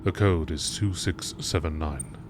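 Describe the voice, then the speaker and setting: Male narrator, bass register, for measured documentary-style readings of scripted logs; deliberate phrasing, slight noise in the recording. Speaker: narrator reading out video game text logs.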